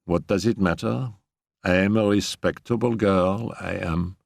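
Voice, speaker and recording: A man's voice: sits low, a little slow, vocal fry, dry recording, studio quality. A man voicing a resentful, pious servant woman in an audiobook, speaking in a smug sing-song. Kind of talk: audiobook character reading.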